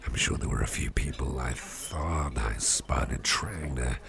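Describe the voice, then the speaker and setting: A man playing a jovial, impish old devil boss in a soft, rambling, genial ASMR roleplay chat with a gravelly voice. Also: background noise, full character voice. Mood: chatty. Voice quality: gravelly, fry-laden deep voice. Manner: unhurried, rambling, genial, with repeated phrases. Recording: party crowd murmur behind voice. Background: party crowd chatter.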